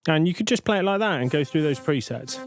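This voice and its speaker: A man giving a casual, matter-of-fact software tutorial as a plain-spoken voice-over. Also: a little high, animated, fast talker, soft background music. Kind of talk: casual tutorial narration over screen recording.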